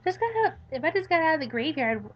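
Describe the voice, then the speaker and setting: A woman's voice: very high-pitched, fast, highs cut off, pitched above the performer's own voice. A woman voicing a peppy, pestering teenage girl in a visual novel, eager, oblivious and gushing. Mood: chirpy, oblivious. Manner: excitable, pleading, gushing.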